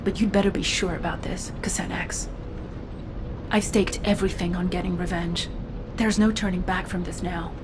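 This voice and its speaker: Low-voiced steely woman operative played by an actress, noisy backdrop. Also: little pitch movement, normal speed, lightly acted. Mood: grim, hushed. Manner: resolute, cool, serious. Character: steely vengeful female stealth operative. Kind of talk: video game voice acting.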